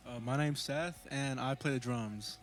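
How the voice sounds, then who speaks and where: Casual teenage drummer, bro talk. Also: male voice, mid-range voice, unhurried, soft background music. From Portland, Oregon. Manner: casual, says bro, lots of fillers. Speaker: band drummer. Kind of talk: casual interview chat.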